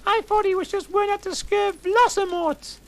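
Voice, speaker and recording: A shrieking teenage goth girl in a mocking, shrill falsetto, put on by a man reading a story aloud. The voice jumps far above his own register and comes out loud and piercing. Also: fairly even intonation, moderate pace, creaky, heavily acted.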